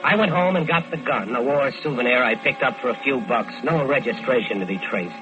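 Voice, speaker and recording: An actor playing a smooth-talking American killer who tells his own crime in vintage radio drama style. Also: mid-range voice, brisk, music in the background, lightly acted. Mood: tense, confessional. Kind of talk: 1940s-50s radio crime drama acting. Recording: dull lo-fi old radio recording.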